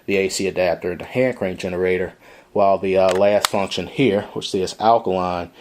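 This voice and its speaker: A man's voice: sits low, fairly even intonation, fairly quick. A man giving a casual, step-by-step product demonstration in an explanatory way, recorded in a room indoors. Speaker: product reviewer.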